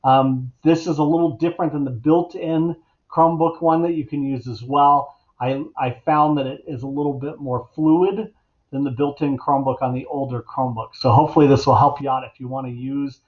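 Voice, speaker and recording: A middle-aged man talks through a software demonstration in a casual teaching style. His voice sits at a medium pitch. The recording is slightly dull and lo-fi, as from a computer microphone. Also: fairly even intonation, a little fast. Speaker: educator giving a software tutorial. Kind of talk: casual instructional screencast narration. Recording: dull, lo-fi computer mic sound.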